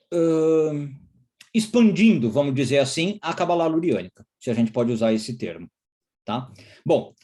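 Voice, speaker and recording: Guy from israel teaching a class, keeps checking in with the students, voice on the high side. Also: darkish tone. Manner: explanatory, frequent checking tag questions. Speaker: lecturer. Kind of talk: recorded course lecture.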